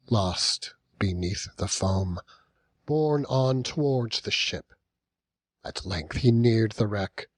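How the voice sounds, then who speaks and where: A man narrating a classic novel as an audiobook, in a measured, dramatic storytelling manner with deliberate pauses. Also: mid-range voice, darkish tone, no room sound. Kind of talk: scripted literary narration.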